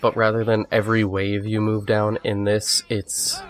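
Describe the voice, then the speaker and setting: Gamer guy with a head cold, low voice, casual rambling walkthrough talk, faint game noise behind. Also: normal speed. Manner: relaxed, rambling, with restarts. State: has a cold, sniffly. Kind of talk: casual gameplay commentary.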